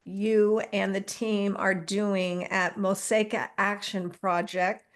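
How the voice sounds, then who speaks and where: A woman hosting a podcast interview, asking questions and giving gentle, brief responses as she listens. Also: mid-range voice, level tone, takes it slowly. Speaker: podcast host.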